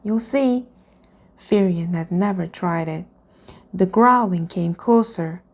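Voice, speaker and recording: Slow-paced woman reading a storybook. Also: lowish voice. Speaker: reader practicing reading aloud. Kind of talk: reading a children's storybook aloud.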